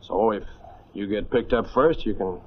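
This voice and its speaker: An American man playing a steady, caring airman skipper who gives matter-of-fact orders in a 1940s film drama. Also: lowish voice, moderate pace, a little hiss or hum, natural voice. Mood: resolute. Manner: instructive, matter-of-fact, encouraging. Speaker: actor. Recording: muffled 1940s film soundtrack, faint music.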